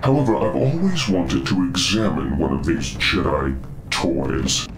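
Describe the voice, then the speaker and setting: An actor playing a menacing, pompous video game villain who taunts and gloats. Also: male voice, low-pitched, very animated, a little slow, background noise. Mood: gloating, menacing.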